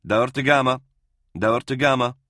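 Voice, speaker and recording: Man voicing a brisk army captain, raised pitch. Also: fairly even intonation, sharp tone, no room sound, studio quality. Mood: brisk, composed. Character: brisk, composed army captain leading clearance team. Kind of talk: audiobook character voice in military science fiction.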